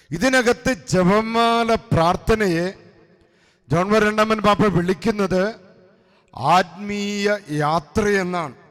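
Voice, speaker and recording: High-pitched preacher in echoing hall. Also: male voice. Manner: emphatic, exhorting, phrase-by-phrase delivery with pauses. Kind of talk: devotional sermon. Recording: long echoing hall reverb.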